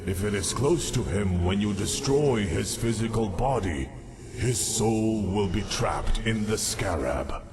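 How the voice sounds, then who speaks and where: Casting: cold game schemer, low voice with very animated swoops, formal and deliberate. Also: male voice, background noise. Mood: cool, commanding. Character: cold, scheming male mystery figure granting dark errands. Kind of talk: scripted video game dialogue. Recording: game music behind the voice. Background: music.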